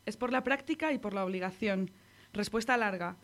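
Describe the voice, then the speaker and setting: A woman with a Spanish accent from Spain gives a poetry reading in a measured, intimate tone. Her voice sits at an ordinary middle pitch for a woman. She speaks into a radio studio mic. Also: fairly bright tone. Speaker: poet reading her work.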